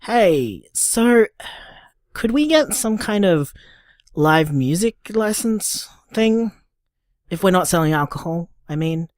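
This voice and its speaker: A woman's voice: on the low side, lively intonation, takes it slowly, a little mellow, dry recording, clean studio sound. A woman playing a caring, stubborn, sweary partner in an audio drama, talking in an emphatic, coaxing, informal way. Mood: hesitant, bargaining. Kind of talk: naturalistic audio drama monologue.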